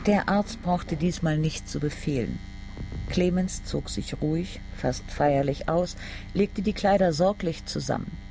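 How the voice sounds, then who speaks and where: Male narrator, literary radio drama, gravelly, slightly high-pitched, serious, deliberate. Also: lively intonation, background noise.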